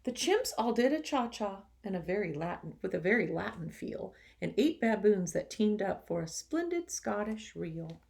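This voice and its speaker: A woman, a teacher, reading a picture book to children expressively, with gentle sympathetic asides. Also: mid-range voice, big pitch swings, normal speed, creaky, few pauses, slight background noise. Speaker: teacher reading a storybook to pupils.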